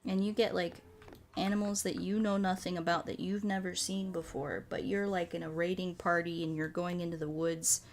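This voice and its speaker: A woman from the United States chatting casually as a streamer, animated and rambling with a salty tongue. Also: lowish voice, moderate pace, a little hiss or hum. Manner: animated rambling, frequent swearing. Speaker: streamer chatting with viewers during a puzzle game. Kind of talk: casual livestream chat.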